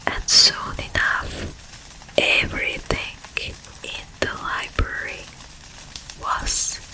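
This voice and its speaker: A woman voicing an eerie sleep paralysis demon who was once a tormented monk, telling a story in a breathy ASMR whisper, in short hushed phrases. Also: drawn-out words, rough recording. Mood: troubled, eerie. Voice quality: breathy, mostly unvoiced whisper. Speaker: ASMR performer.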